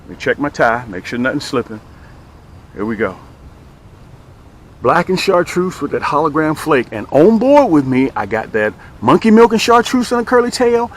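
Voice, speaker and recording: A man's voice: on the high side, very animated, moderate pace. A man hosting a fishing show outdoors, chatting in an exclamatory way and repeating his phrases for emphasis as he demonstrates tackle. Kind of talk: excited fishing vlog chat, demonstrating and selling tackle.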